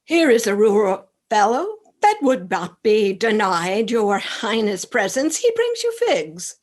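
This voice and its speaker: A woman's voice: medium pitch, sing-song, measured pace. A woman playing a loyal, anxious attendant in a Shakespearean radio play, earnest and dramatic. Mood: deferential.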